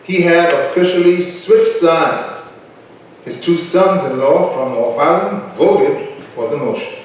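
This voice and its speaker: A man's voice: a little high, slow pace, a little hiss or hum. A man performing as the narrator of a historical play in a staged reading, telling the story as scripted narration. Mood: storytelling. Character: male narrator of a colonial island history play. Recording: very echoey hall, distant mic.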